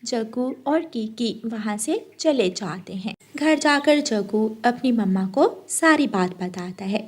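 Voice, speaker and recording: A woman's voice: a little high, lively intonation, unhurried. A woman narrating a scripted children's cartoon story.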